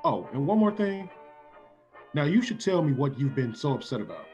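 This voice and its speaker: An actor playing a forward, blunt suitor in a classic radio play, theatrical and sometimes stammering. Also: male voice, on the high side, very animated, normal speed, warm tone. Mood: courting. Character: brusque, forward male suitor courting a young woman. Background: music behind the voice.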